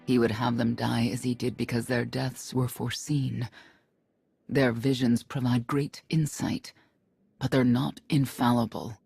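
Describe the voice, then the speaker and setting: A woman's voice: extremely low pitch, level tone, unhurried, gravelly, warm tone, husky, no echo, full character voice. An actress playing a grave, resolute middle-aged warrior mother in a space saga game, solemn and reflective. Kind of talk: scripted game cutscene acting. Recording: music playing underneath.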